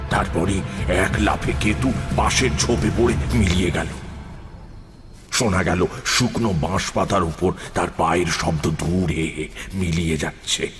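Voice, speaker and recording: Very deep-voiced man narrating suspense. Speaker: narrator of a horror story. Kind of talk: dramatic first-person scripted audio narration. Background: prominent music.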